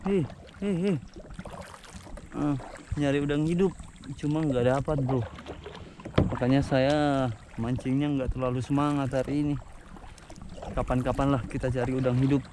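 Excitable man vlogging from a kayak. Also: medium pitch, moderate pace, long pauses. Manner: excitable, groaning and exclaiming while reeling in. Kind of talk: casual first-person fishing vlog. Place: outdoors on a kayak at sea. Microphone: action camera on the kayak. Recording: outdoor wind and water noise.

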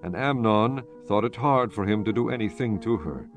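A man's voice: lowish voice, moderate pace. A man narrating scripture as a scripted dramatized reading, in a formal, solemn storytelling manner. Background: background music.

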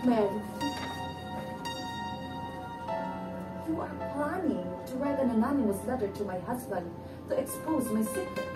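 A woman's voice: a little high, animated, a little fast, natural voice. A young woman playing a proud, accusing woman in a stage play, declaiming emphatically. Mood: alarmed, bitter. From Philippines. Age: young adult. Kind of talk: theatrical stage acting of a classic play. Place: indoor living-room stage set. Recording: roomy, distant microphone, music behind.

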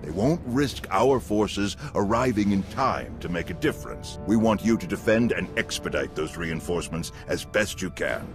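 An actor playing a burly, authoritative alien general giving a grave military briefing in a video game. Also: male voice, deep voice, lively intonation, normal speed, hardly any pauses, over music. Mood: commanding.

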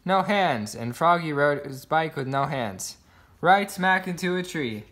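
A man's voice: fairly high pitch, lively intonation, unhurried, fairly bright tone. A man reading a children's picture book aloud, voicing the sound words expressively. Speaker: storybook reader.

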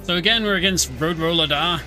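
A man's voice: on the high side, a little fast, pushed and bright. A man doing casual, informal live commentary as he plays a video game, explaining as he goes. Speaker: streamer, speedrunner. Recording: game music behind the voice. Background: video game music and vehicle sounds.

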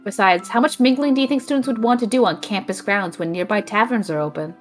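A woman's voice: medium pitch, fast talker, soft background music, lightly acted. A woman playing a dry, affectionately sarcastic young homebody, teasing her best friend in an audio drama. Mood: dry, fond. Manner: wry, teasing.

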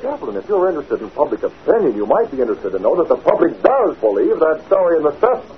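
An actor playing a forceful, confident newspaper publisher with a challenging, sardonic manner in a 1940s radio drama. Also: male voice, a little high, sing-song, fast talker. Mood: combative. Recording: noisy, narrow-band 1940s radio recording.

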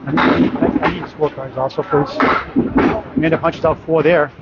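A man's voice: fairly high pitch, level tone, a little fast. A man announcing a bowling tournament live, reading off scores and bowler stats in a matter-of-fact way from inside a bowling alley. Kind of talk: live sports commentary. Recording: dull lo-fi sound with loud alley noise.

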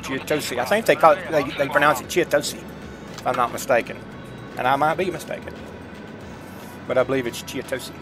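A man's voice: medium pitch, a little fast, long pauses, over music. A middle-aged man giving casual, folksy gameplay commentary, chatting informally to his viewers. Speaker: gaming streamer. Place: indoors at a computer desk, webcam.

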